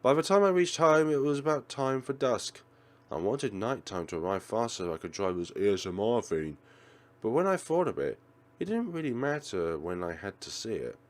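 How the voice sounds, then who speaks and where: A man hosting a let's play, reading visual novel narration aloud in an expressive way. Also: mid-range voice, moderate pace.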